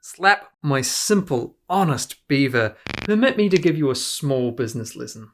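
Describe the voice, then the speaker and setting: Sly, greedy goose shop boss for an animated series, voiced by an actor, swooping condescending delivery over music. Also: medium pitch, moderate pace, natural voice. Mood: smug. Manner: smug, condescending, theatrical. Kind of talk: animated cartoon dialogue. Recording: music behind the voice.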